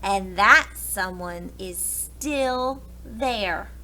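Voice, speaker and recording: Woman putting on a squeaky little storybook Baby Bear. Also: drawn-out words, sharp tone, low-quality recording. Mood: upset. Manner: squeaky, plaintive. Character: small squeaky Baby Bear, upset child cub.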